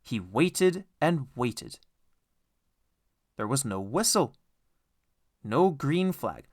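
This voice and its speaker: A man narrates a children's storybook. His medium-pitched voice moves with lively, expressive intonation, in the manner of an audiobook reader. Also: very slow.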